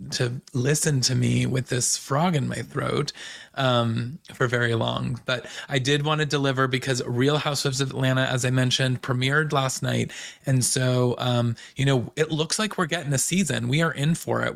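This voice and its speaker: A man hosting a podcast with a hoarse voice, recapping pop culture in a chatty, gossipy way. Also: medium pitch. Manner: chatty, gossipy, fast asides. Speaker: podcast host.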